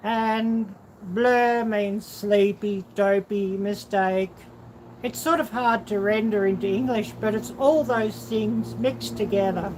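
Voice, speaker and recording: A woman's voice: mid-range voice, steady tone, slow pace, darkish tone, a little hiss or hum, poor audio. A woman hosting a casual livestream, rambling and musing aloud as she answers viewers. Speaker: livestream host.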